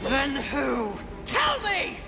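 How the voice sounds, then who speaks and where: A woman's voice: high voice, wildly swooping pitch, drawn-out words, creaky, full character voice. A woman playing a proud, defiant warrior Horseman of the apocalypse in a video game, with a commanding manner. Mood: demanding. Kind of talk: dramatic video game acting. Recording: loud music under the voice.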